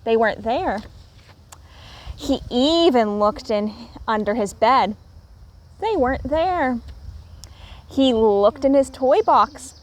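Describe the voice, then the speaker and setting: Slow, high-voiced woman reading a children's story. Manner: animated storytelling with emphatic, deliberate phrasing. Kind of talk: expressive read-aloud of a picture book for young children.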